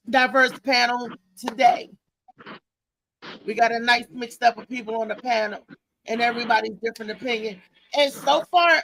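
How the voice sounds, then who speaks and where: A woman's voice: a little high, vocal fry, a bit bright, a little hiss or hum, poor audio. A woman hosting a live panel discussion, speaking emphatically and repeating her phrases. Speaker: talk show host.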